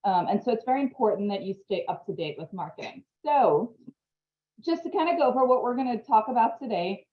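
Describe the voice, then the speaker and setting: A woman's voice: on the high side, animated, brisk, reverberant. Woman lecturing over a tinny video call. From Trinidad. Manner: friendly, chatty, laughs easily. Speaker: marketing instructor. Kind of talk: online presentation. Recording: phone-like video call audio.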